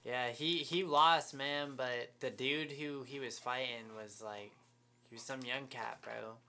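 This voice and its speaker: Slangy man with a bright, punchy voice. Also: medium pitch, fairly even intonation, a little slow. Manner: very slangy, bro-heavy, enthusiastic fan talk. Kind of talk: casual podcast chat.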